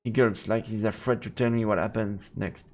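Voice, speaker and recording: A man's voice: fairly low pitch, fairly even intonation, moderate pace. A man streaming a video game live, reacting theatrically with exclamations and reading narration aloud.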